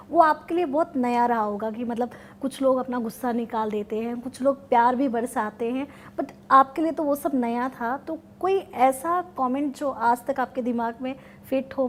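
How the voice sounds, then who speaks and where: High-voiced woman asking long interview questions. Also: a little hiss or hum. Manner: long, rambling questions with polite address.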